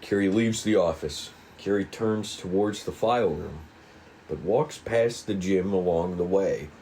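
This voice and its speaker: A man reading a script's stage directions at a table read, casual and halting, with asides. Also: low voice, darkish tone, slight background noise, low-quality recording. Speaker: script reader.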